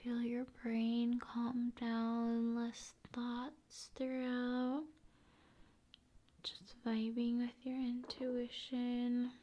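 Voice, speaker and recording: A woman with a fairly high, soft voice that often drops into a whisper. She speaks slowly and soothingly in an ASMR style, keeping her pitch very even. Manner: unhurried, soothing delivery with drawn-out phrases. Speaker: ASMR video creator.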